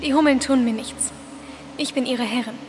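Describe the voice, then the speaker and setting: A woman's voice: high-pitched, moderate pace, background noise, lightly acted. A woman playing a strange, intense, self-assured girl who keeps bumblebees, in an audio drama. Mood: proud, self-assured.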